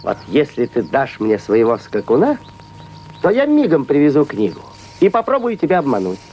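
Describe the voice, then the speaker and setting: A man's voice: mid-range voice, huge pitch swings, moderate pace, a little mellow, a little hiss or hum. A man voicing a sly, cheerful folk-hero trickster in a cartoon, coaxing in a sing-song way with feigned innocence. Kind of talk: animated film voice acting.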